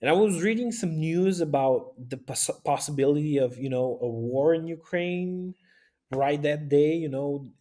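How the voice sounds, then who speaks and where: A man from Romania with a Romanian accent, speaking casually as an interview guest and pausing often to find his words. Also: a little high, dark tone. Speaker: interview guest, youth pastor and aid volunteer.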